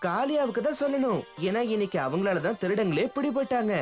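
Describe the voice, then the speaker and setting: A woman's voice: on the high side, expressive, fast, natural voice. A woman voicing a confident, kind-hearted young boy hero in a children's cartoon, assured and plain-spoken. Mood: kindly, assured. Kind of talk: children's cartoon dub acting. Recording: music under the voice.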